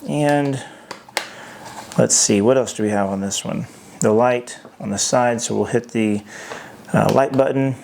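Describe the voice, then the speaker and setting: A man's voice: mid-range voice, measured pace, vocal fry, long pauses, a little mellow, a little hiss or hum. A man giving an unscripted hands-on product review as host, thinking aloud in a hesitant way.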